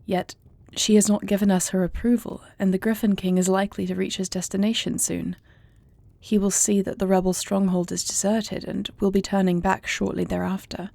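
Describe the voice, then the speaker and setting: A woman playing a composed, dutiful dragon princess in an audio roleplay, speaking intimately with formal, measured, eloquent diction. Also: medium pitch, little pitch movement, gravelly, dark tone, no echo. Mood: resolute, businesslike. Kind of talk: scripted audio roleplay, intimate dramatic monologue.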